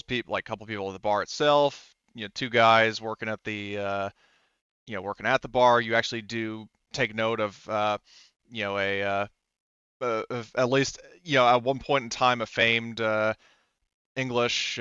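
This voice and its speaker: A man narrating a tabletop game casually as game master, in a rambling, halting way with frequent self-interruptions. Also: mid-range voice, pushed and bright, clear, resonant tone, dry recording, lo-fi sound.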